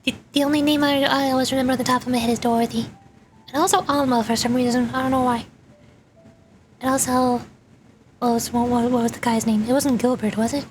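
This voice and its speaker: High-voiced chatty woman streamer. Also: fairly even intonation, fairly quick, soft background music. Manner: chatty, rambling. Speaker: streamer, virtual YouTuber. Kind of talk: casual chat while livestreaming a game.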